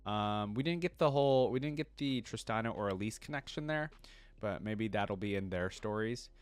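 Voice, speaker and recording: A man's voice: lowish voice, expressive, normal speed, smooth. A man giving informal, casual fan commentary as a reaction video host. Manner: informal, peppered with "like" and "you know".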